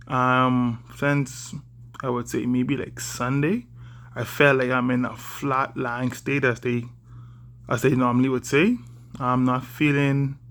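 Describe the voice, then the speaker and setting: A young man from the Bahamas with a Bahamian accent, talking to camera in a halting, careful way with many fillers. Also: medium pitch, a bit bright. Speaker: vlogger. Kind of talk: casual talk to camera.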